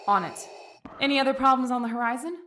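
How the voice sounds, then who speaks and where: A woman playing a brisk, sardonic rogue agent in a comic dub, casual and businesslike. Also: sits high, bright, forceful tone, a little hiss or hum. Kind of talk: dramatic comic dub acting.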